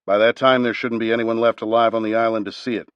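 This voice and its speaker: A man's voice: medium pitch, little pitch movement, quick pace, no echo, highs cut off, natural voice. A man reading aloud, voicing a commanding male former senator giving firm instructions.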